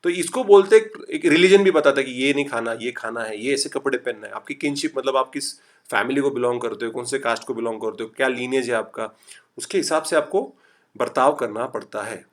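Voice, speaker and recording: Chatty Marathi-accented teacher. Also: male voice, mid-range voice. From Maharashtra. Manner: chatty, reads out students' typed replies; urges quick answers, mixes English. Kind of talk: online lecture.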